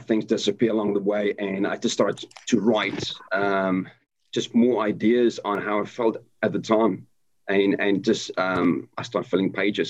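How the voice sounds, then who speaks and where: A man from Africa, a guest telling his story in a casual interview, hesitant with many ums and restarts. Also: on the low side. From South Africa. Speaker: guest, army veteran. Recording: dull lo-fi sound.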